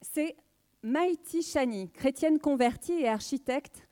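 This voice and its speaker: Female, late 30s. Slightly high pitch, dark mellow tone; earnest, measured read of a personal faith testimony. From southwest France. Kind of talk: prepared spoken testimony.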